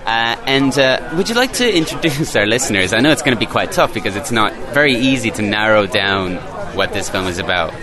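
A man's voice: fairly low pitch, expressive, brisk, hardly any pauses. A man from Ireland interviewing a guest, asking long questions with many hesitations and repeated words. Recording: crowd noise outdoors.

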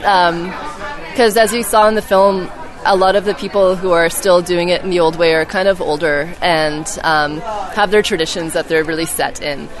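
A young woman from Canada speaks casually in a slightly low voice, full of fillers and self-corrections. She is recorded outdoors in a city, with clear crowd chatter behind her. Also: steady tone, moderate pace. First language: Czech heritage, English first. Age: young adult. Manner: chatty, frequent "like" and restarts, laughs easily. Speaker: film's lead and graduate student guest. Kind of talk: casual interview chat.

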